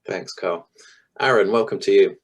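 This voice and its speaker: A man with a very low, deep voice hosts an online panel. He is hoarse and croaky from losing his voice, and his talk is full of ums and small restarts. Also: moderate pace. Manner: hesitant, with frequent ums. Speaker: webinar host. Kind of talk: hosting a video-call panel. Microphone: video call.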